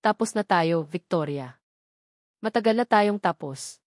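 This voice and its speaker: A woman's voice: fairly low pitch, lively intonation, a little slow, dry recording. A woman voicing an angry young man in a melodramatic story, seething and accusing as he defends his children, in a dry recording. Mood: cold threat.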